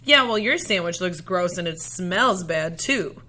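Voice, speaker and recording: A woman's voice: lowish voice, lively intonation, measured pace, sharp tone, exaggerated voice. A woman voicing an indignant young schoolgirl in a storybook, blunt and emphatic as she squabbles. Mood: indignant, defiant. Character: indignant young schoolgirl quarrelling with her best friend.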